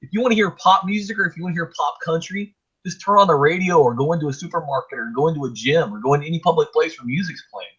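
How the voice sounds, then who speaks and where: A middle-aged man hosting a casual podcast, hoarse from illness, blunt and quick to swear. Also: fairly high pitch, fairly bright tone, highs cut off. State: hoarse, getting over a chest illness. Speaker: podcast host. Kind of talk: casual chat.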